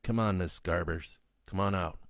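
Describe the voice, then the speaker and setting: Grim survivor, read by a man in his own deep voice: curt, firm orders. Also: normal speed, no echo, studio quality. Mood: stern. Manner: flat, firm commands. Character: stern grim man with a hammer.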